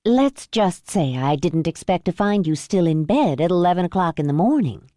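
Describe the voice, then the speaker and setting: A woman's voice: on the low side, expressive, normal speed, warm tone, no room sound, studio quality, natural voice. A woman narrator voicing a wry, teasing middle-aged mother.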